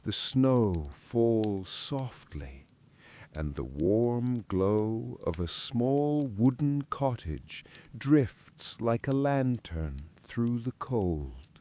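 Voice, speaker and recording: A man narrating a guided relaxation and bedtime story in a gentle, calm, unhurried and soothing way, close to the microphone. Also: on the low side.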